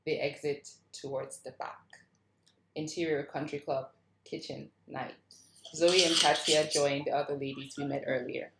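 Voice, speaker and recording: A woman's voice: sits low, steady tone, moderate pace, noisy background. A woman narrator reading screenplay stage directions aloud. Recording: roomy, echoing sound.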